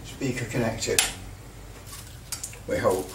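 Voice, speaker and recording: A man giving a casual hands-on commentary as a hobbyist, halting and muttering a little to himself as he works. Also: on the low side, creaky. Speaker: hobbyist presenter. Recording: roomy, distant mic with light noise.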